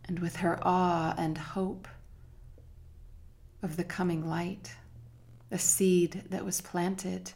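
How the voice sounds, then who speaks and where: Very slow, creaky-voiced woman guiding meditation. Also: lowish voice, little pitch movement. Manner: measured, hushed, with long pauses. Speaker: meditation guide. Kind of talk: slow guided meditation narration.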